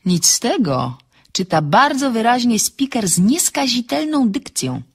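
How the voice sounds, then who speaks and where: Slightly husky, insistent woman sleuth. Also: a little high, sing-song, exaggerated voice. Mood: explaining. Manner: brisk, insistent questioning and explaining. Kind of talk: audio drama acting.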